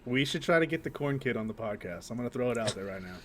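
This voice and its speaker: A man's voice: mid-range voice, lively intonation, fast talker, a crowd in the background. A man hosting a podcast, talking in casual group banter with a crude, profane sense of humor. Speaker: podcast host.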